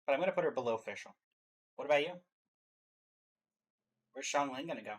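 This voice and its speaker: A man's voice: medium pitch, lively intonation, a little fast. A man hosting a reaction show, giving casual commentary and rankings. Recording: music behind the voice.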